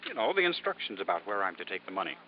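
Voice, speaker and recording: An actor playing a mild, genteel nephew with a sly streak, in 1950s radio drama style. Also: male voice, low-pitched, very animated, rapid-fire. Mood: amused, conspiratorial. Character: mild, polite nephew, quietly sly and amused. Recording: muffled, noisy vintage radio recording.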